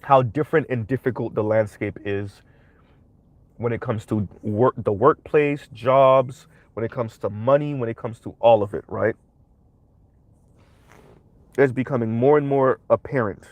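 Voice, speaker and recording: A man's voice: medium pitch, measured pace, long pauses, dry recording, phone-quality sound. A young man with a cold and a stuffy voice, hosting a livestream of emphatic social commentary full of tag questions. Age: young adult. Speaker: commentary channel host.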